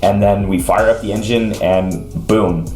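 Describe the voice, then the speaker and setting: Low-voiced man telling a story. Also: steady tone, unhurried, a little mellow. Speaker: host of an aviation talk show. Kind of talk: casual motivational storytelling to listeners. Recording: roomy echo, music underneath. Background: background music.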